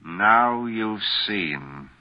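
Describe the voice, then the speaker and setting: An actor playing a guilt-ridden, murderous husband in a melodramatic old radio thriller, brooding and given to frantic outbursts. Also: male voice, fairly low pitch, animated, drawn-out words. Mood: cold menace. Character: guilt-ridden murderous husband, desperate, haunted recluse narrating his crime. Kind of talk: 1940s radio thriller acting, dramatic first-person confession. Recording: muffled vintage 1940s radio recording with music.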